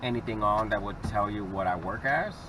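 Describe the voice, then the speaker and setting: A man's voice: lowish voice, fairly quick, noisy background. A man with a Southern accent hosting a vlog, chatting casually and rambling with fillers while driving his car. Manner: laid-back, rambling with fillers. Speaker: vlog host. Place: inside a car.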